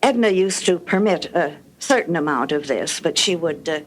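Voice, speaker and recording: An older woman with a low voice, reminiscing about radio production in a measured, articulate, dryly humorous way. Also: lively intonation, slight background noise. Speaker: guest recalling radio production history. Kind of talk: reminiscing anecdotes.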